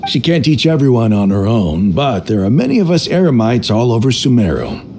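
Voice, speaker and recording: A man's voice: mid-range voice, sing-song, fairly quick, mellow, slight background noise. A man voicing a hearty, noble desert mercenary leader in a video game, with a florid, declamatory way of speaking. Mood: earnest, admiring. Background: game background music with plucked strings.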